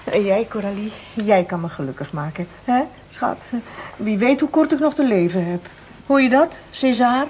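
An actress playing a thrifty, condescending old aunt in a Dutch radio play, sharp-tongued and coaxing by turns. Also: female voice, medium pitch, lively intonation, normal speed, a little hiss or hum, natural voice. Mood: coaxing, pleading. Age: older.

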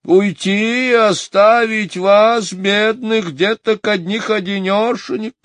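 A man's voice: sits high, steady tone, takes it slowly, a bit bright, no room sound, voice pushed up. A man voicing a gossipy old housekeeper who sing-songs and puffs as she talks. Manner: sing-song, puffing, gossipy. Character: chattering, sing-song old housekeeper woman.

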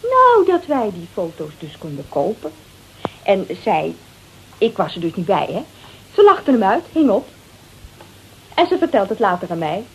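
A woman's voice: mid-range voice, huge pitch swings, unhurried, pauses often, a little hiss or hum, poor audio. An actress in a radio play, playing a brash, coarse-tongued married woman confessing under threat in hesitant, colloquial speech. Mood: chatty, confiding. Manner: hesitant, colloquial, peppered with little tags. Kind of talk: Dutch radio play dialogue.

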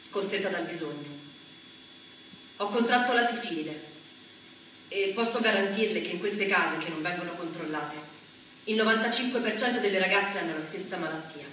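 A woman's voice: medium pitch, a bit bright, a little hiss or hum, close to an everyday voice. An actress on stage playing a young woman orphaned by bombing and trapped in a brothel, reading an earnest, pleading letter. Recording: echoey stage sound from far off.

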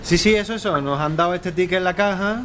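A man's voice: sits high, fast talker, fairly bright tone, a little hiss or hum. A male actor playing an easygoing, gullible husband in a radio play, colloquial and agreeable, with an accent from Spain. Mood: eager. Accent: Spanish from Spain.

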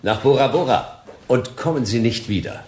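An actor playing a laconic hard-boiled detective in a radio crime drama, with gravelly voice and dry, terse delivery. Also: male voice, mid-range voice, moderate pace, a little mellow, close to an everyday voice. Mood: deadpan. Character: laconic hard-boiled male detective, wryly narrating and talking.

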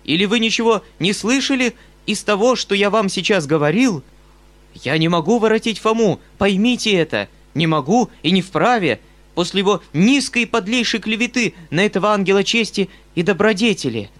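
A man reading an audiobook voices a distraught, kind-hearted gentleman who pleads in an agitated, earnest way. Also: sits high, animated, normal speed, pitched above the performer's own voice.

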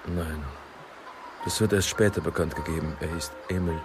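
A man's voice: sits low, fairly even intonation, moderate pace, close to an everyday voice. A man acting in a radio drama as a reserved, laconic police inspector, terse and reticent. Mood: reserved, subdued. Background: music.

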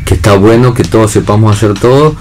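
An Argentine man with a markedly deep voice talks in a casual round-table style. He builds his thoughts piece by piece, often seeking agreement from the others. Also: fast talker, a little mellow, a little hiss or hum. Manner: often seeks agreement with tag questions. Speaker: radio talk show panellist. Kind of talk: casual discussion.

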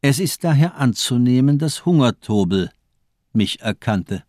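A man acts out a murderous doctor in a radio crime play. His delivery is precise and lecturing, with a lively, swooping melody that turns cold menace into mocking amusement. The sound is dry, with no room on it. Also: medium pitch, unhurried, no echo, lightly acted. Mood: amused, methodical. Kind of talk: radio crime drama acting.

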